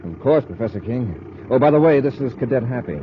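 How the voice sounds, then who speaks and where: An actor playing a steady, cautious space patrol commander, authoritative and clipped, in 1950s radio drama style. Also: male voice, medium pitch, brisk, slight background noise, close to an everyday voice. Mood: courteous. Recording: dull lo-fi vintage radio recording.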